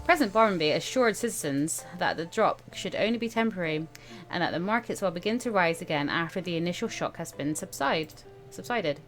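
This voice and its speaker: A woman's voice: fairly low pitch, lively intonation, fairly quick, few pauses. Woman casually hosting a gaming playthrough. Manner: informal, stumbles over read text and comments on it. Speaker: gaming video host. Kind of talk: casual let's-play, reading game text aloud with chatty asides. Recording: music behind the voice.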